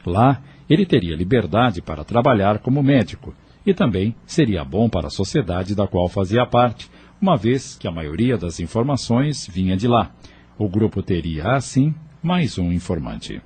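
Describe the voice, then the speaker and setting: A man's voice: fairly low pitch, very animated, normal speed, a little mellow, husky. A man reading scripted storytelling narration for an audio novel. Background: music.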